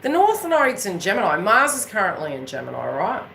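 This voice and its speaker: A woman's voice: medium pitch, huge pitch swings, a little fast, vocal fry, background noise. A woman from New Zealand with a Kiwi accent, chatting casually and unscripted to her viewers as an astrology reader, in an emphatic, rambling way that keeps checking they follow. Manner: emphatic, rambling, often checking in with tag questions. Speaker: astrology reader and spiritual coach hosting her own channel.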